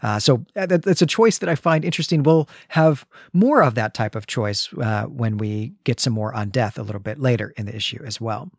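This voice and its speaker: A man's voice: medium pitch, expressive, brisk, hardly any pauses, no echo, studio quality. A man co-hosting a podcast, talking reflectively and conversationally about stories and posing questions. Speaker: podcast co-host. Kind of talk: conversational literary podcast discussion.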